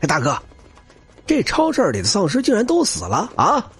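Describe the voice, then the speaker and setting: Excitable, brash henchman, a man acting. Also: high voice, normal speed, slightly rough, faint music behind, voice pushed up, heavily acted. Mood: gleeful. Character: excitable male scavenger henchman, gleeful and brash.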